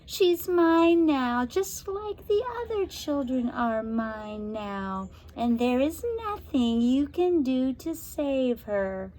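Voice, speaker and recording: Raspy, slow, menacing she-monster. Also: sits high, lively intonation, a little mellow, slight background noise, voice pushed up, rough recording. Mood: menacing, possessive. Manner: slow, drawn-out menacing delivery. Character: menacing rasping female monster, possessive and vicious.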